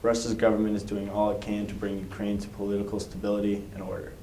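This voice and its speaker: A young man reading a school news report in an even, measured way, with small slips. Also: on the low side. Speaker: student news anchor. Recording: echoey room with faint noise.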